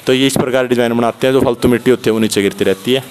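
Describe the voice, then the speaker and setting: A young man from Haryana vlogs in a casual, chatty way with a Haryanvi accent. His voice sits at an average male pitch. He speaks into a handheld phone, addressing his viewers like brothers. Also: fairly even intonation, very fast, slight background noise. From Haryana, India. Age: young adult. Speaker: vlogger. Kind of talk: casual vlog commentary. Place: unfinished concrete house, indoors and at doorway.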